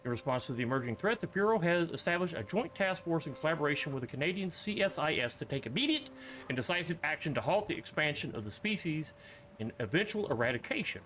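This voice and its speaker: A man's voice: a little high, very animated, fast, fairly bright tone, a little hiss or hum. A man giving casual commentary as he plays a horror game, reading screen text aloud with joking, offhand asides. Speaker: streamer playing a horror game.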